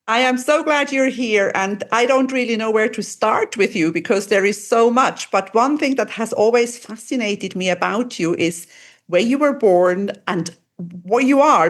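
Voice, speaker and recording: A woman's voice: mid-range voice, lively intonation, normal speed. A woman in her sixties hosting a podcast interview, speaking effusively. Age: 60s. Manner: enthusiastic, effusive. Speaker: podcast host.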